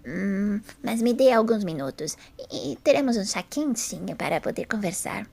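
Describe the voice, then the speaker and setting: A woman playing a sweet, frail, apologetic old lady of about seventy with a hoarse voice in an audio drama. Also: mid-range voice, animated, moderate pace. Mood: apologetic, hospitable. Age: older. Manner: sweet, gentle.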